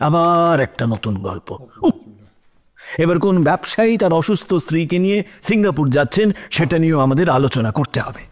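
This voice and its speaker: A man's voice: on the high side, sing-song, fairly quick. A man playing a principled, sceptical opposing lawyer who speaks formally and emphatically in an audio drama. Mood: exasperated, mocking. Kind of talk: Bengali audio drama acting. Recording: dramatic music under the voice.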